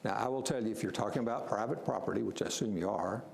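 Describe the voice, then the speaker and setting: Man, gravelly voice, firm explainer, meeting facilitator, echoey city room. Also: fairly low pitch, fast, slight background noise. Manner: firm, explanatory, repeats words. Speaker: strategic planning facilitator.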